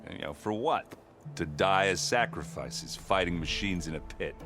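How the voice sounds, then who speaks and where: Deep, hearty warrior storyteller. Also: male voice, expressive, normal speed, over music. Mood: relaxed, wry. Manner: folksy, chuckling storytelling. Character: hearty, boisterous warrior man, fond and bashful storyteller. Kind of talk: video game cutscene acting.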